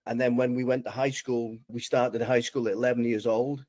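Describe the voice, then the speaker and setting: Welsh man in his sixties, measured. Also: mid-range voice, level tone, darkish tone, no room sound. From Wales. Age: 60s. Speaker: podcast guest. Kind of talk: relaxed interview storytelling.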